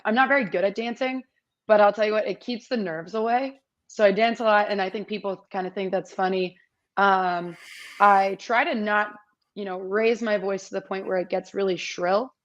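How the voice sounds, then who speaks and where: A woman in her twenties with a slightly raspy voice, chatting upbeat as a guest in an interview over a video call. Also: medium pitch, fairly even intonation, normal speed, highs cut off. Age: 20s. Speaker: competition MC, guest. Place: small indoor room.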